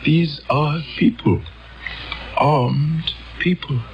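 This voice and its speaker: An actor playing a commanding male elder of an alien world, measured and portentous, in a dramatic radio play. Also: on the high side, faint music behind. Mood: ominous, solemn. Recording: dull old radio recording, background noise.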